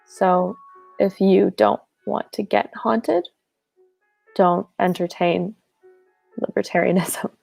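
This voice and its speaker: A woman's voice: medium pitch, steady tone, takes it slowly, creaky, pauses often, darkish tone, dry recording, soft background music. A woman telling a funny ghost story in a rambling, casual way, full of "like", over a video call. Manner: rambling, lots of "like".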